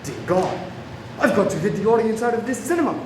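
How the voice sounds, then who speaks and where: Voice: medium pitch, big pitch swings, fast talker, lightly acted. An actor playing an earnest, anxious Scotland Yard agent in a radio play. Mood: alarmed, pleading. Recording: very echoey room, clearly noisy.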